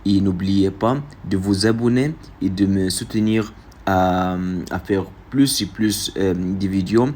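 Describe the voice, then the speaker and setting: A man from Saudi Arabia whose first language is Arabic, introducing his video in French with an Arabic accent, halting and apologetic. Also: low-pitched, fairly even intonation, darkish tone. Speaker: vlogger.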